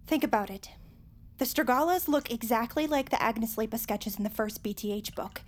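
Woman playing a high-voiced earnest nerdy teenage girl. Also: normal speed, lightly acted. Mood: earnest, explaining. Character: nerdy, earnest high school girl, horror franchise superfan. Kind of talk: audio drama acting.

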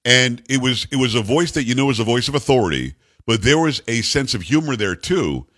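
Male talk radio host, deep bass voice, loud and upbeat, dry studio sound. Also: lively intonation, fairly quick, husky, no room sound. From New York. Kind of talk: energetic talk radio monologue.

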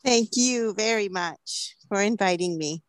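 A woman's voice: mid-range voice, unhurried, no room sound. Chile-raised woman guest in her fifties, storytelling, laughs easily, dry mic. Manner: chatty, laughs often, storytelling. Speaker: flower farmer, guest. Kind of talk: casual interview.

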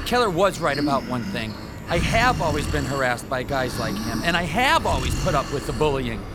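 A man's voice: mid-range voice, big pitch swings, moderate pace, heavy background noise, natural voice. An actor playing a timid, bullied man turned vengeful master in a horror radio drama, bitter, sarcastic and taunting. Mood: elated. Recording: music behind the voice.

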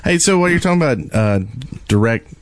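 A man's voice: a little high, lively intonation, fairly quick, no echo. A man co-hosting a podcast in loose, rambling, casual conversation. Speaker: podcast co-host.

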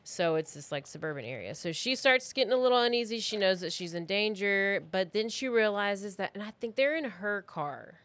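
Woman, thirties, mid-range pitch, casual storyteller, informal phrasing, podcast host, very little room sound. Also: animated, brisk, few pauses, a bit bright, dry recording. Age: 30s. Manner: informal, loosely worded, acts out reported remarks. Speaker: podcast host telling a true crime story.

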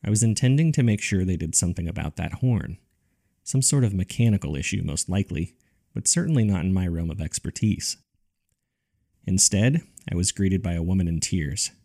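A man narrating a scripted spooky first-person story in a measured, dry, conversational way, as if reading from a journal. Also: sits low, lively intonation, vocal fry, warm tone. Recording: music under the voice. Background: background music.

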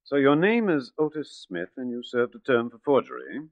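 A suave, patronizing gentleman crook in an old radio play. His genteel voice swoops expressively at middle pitch, heard through a thin, tinny 1940s recording. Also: very animated, a little fast, no echo, faint music behind. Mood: condescending, probing. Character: smooth, condescending gentleman swindler posing as philanthropist. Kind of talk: 1940s radio drama acting.

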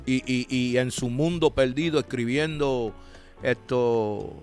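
A man, hosting a radio show and asking relaxed, conversational questions. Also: medium pitch, slow pace, faint music behind. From Puerto Rico. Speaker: radio host. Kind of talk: casual radio interview.

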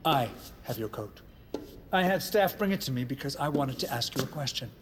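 An actor playing a proud, ailing older American president in a period audio drama, speaking in formal, wordy phrases. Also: male voice, medium pitch, lively intonation, a little fast, background noise, close to an everyday voice. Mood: stately.

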